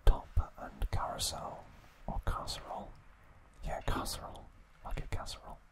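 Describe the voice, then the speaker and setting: A man whispering an ASMR trivia recap in a breathy, unvoiced, hushed voice with gentle, halting pauses. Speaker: ASMR host.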